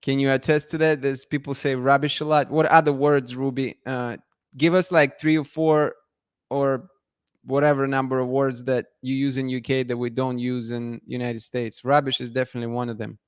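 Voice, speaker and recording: A man's voice: medium pitch, level tone, moderate pace, clear, resonant tone, dry recording, studio quality. A man from Russia, a channel host chatting casually and answering viewer comments with frequent restarts and laughs. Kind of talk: casual live-stream chat reading viewer comments.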